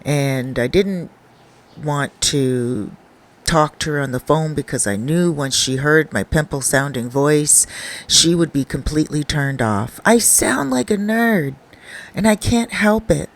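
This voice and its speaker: A narrator reading a first-person confession as a shy, skinny young man who talks about his loneliness in a rambling, pausing way. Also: medium pitch, natural voice. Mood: confessional.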